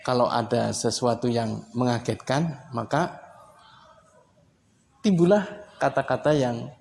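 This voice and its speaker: Man, from Indonesia, teacher, gravelly, unhurried short phrases. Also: medium pitch, a little mellow, a little hiss or hum. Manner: unhurried, talks in short phrases, ends points with "ya". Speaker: English teacher. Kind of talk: casual explanatory lesson.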